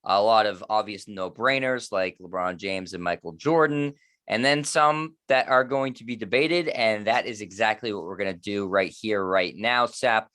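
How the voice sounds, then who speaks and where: A man in his thirties hosts a casual sports podcast with a slightly low voice. He moves between a brisk show opener and relaxed back-and-forth with his co-host. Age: 30s.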